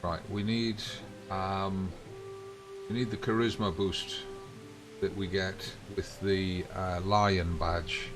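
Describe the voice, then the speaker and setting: A man's voice: low voice, level tone, slow pace. A man giving laid-back, casual commentary while playing a game, thinking aloud. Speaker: gaming streamer. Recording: commentary over game music and rain. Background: game music, rain sounds.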